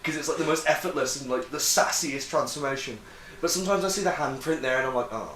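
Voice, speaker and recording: A man's voice: mid-range voice, quick pace, a bit bright. A man chatting casually with friends as host, giving sweary, opinionated takes. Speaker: host of a friends' tier-list discussion. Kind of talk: casual chat. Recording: roomy echo, clearly noisy background.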